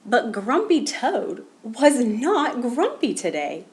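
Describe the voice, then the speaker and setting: Female children's storyteller, higher-pitched, highly expressive intonation, read-aloud narration. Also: slow pace, slight background noise. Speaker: storybook reader.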